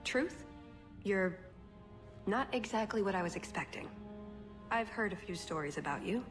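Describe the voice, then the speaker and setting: A woman voicing a poised, self-assured female spy in a video game, speaking in a measured, wry, philosophical way. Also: medium pitch, lively intonation, music in the background, close to an everyday voice. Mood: frank.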